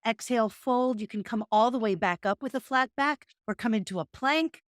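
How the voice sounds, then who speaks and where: A woman's voice: on the high side, normal speed, no room sound, clean studio sound. A woman co-hosting a casual podcast, musing in a meandering way and guiding yoga movements. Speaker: podcast co-host, yoga teacher. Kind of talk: casual reflective conversation.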